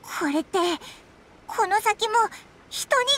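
Squeaky little fairy sidekick. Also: female voice, lively intonation, slow pace, background noise, exaggerated voice. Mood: worried. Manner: blunt, boyish rough speech. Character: tiny floating fairy companion, childlike, outspoken, easily flustered. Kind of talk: anime game voice acting.

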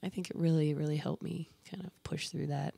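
A woman's voice: low-pitched, fairly even intonation, brisk, gravelly, dark tone, husky, no echo. Laughing, self-mocking woman in her thirties. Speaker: guest musician. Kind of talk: casual radio interview chat. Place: radio studio. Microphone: studio microphone.

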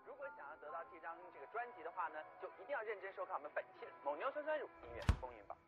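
An actor playing an upbeat music chart show presenter in broadcast patter, through a filtered speaker. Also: male voice, high-pitched, very animated, very fast, narrow, tinny audio. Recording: thin broadcast sound under clear music.

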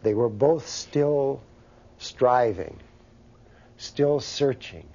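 A man reflecting in an interview in a measured, thoughtful way. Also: medium pitch, animated, gravelly, warm tone. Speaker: interviewee recalling a colleague. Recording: dull archival interview with faint noise.

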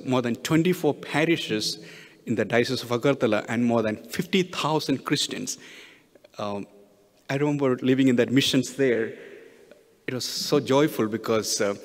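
A middle-aged man, a priest giving a homily with personal stories in an earnest, halting way, often restarting his phrases. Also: medium pitch. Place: church, at the pulpit. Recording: long hall reverb.

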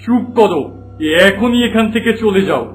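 A man's voice: high-pitched, expressive, normal speed, faint music behind. A man voicing a proud, distrustful king in a fairy-tale cartoon, with a commanding manner. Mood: haughty anger. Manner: commanding, haughty.

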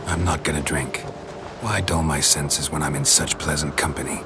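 An actor playing a gruff, unflappable monster hunter with dry wit in a fantasy video game. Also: male voice, rumbling low, fairly even intonation, normal speed, very noisy. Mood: deadpan. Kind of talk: video game dialogue acting.

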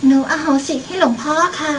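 A woman's voice: fairly quick, distant mic, slight background noise, close to an everyday voice, rough recording. A young woman playing an innocent little daughter in a student radio drama. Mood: innocent, polite. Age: young adult.

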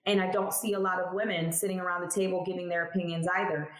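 A woman's voice: lowish voice, little pitch movement, fast talker, echoey room. A woman in her thirties, a chemist guest on a video call, telling her story candidly and emphatically. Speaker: guest, cannabis lab chemist. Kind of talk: casual interview talk. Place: home room, indoors, video call. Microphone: headphones on video call.

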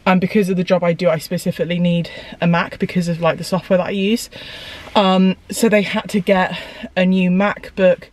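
A young woman vlogging casually to camera, chatty and rambling with lots of fillers, her voice a bit croaky today. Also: lowish voice, level tone, normal speed, no room sound. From United Kingdom. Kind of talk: casual vlog chat to camera. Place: parked car, then home hallway. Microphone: camera on dashboard, then handheld camera.

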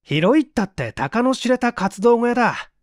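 A man's voice: extremely high pitch, sing-song, normal speed, no room sound, clean studio sound, voice pushed up. A man voicing a dismissive, skeptical young man in a storybook reading, scoffing and unimpressed.

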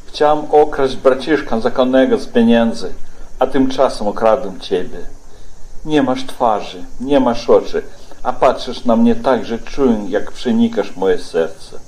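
A man's voice: mid-range voice, measured pace, a little mellow, close to an everyday voice, poor audio. A man playing a remorseful old beggar thief in a religious drama, confessing earnestly. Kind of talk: dramatic religious stage-play acting.

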